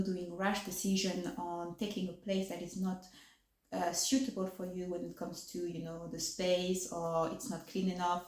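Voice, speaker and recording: A woman's voice: on the low side, a little mellow, echoey room, low-quality recording. A woman from France with a French accent, speaking English as a second language in a casual vlog chat, her words slightly affected by new braces and broken up by fillers. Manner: articulation a little off from new braces; hesitant, many fillers and restarts. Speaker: vlogger.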